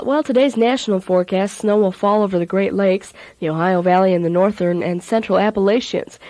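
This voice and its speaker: A woman reading a weather forecast as a college radio announcer in the 1980s, in a measured way with occasional stumbles. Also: mid-range voice, darkish tone, no echo, rough recording.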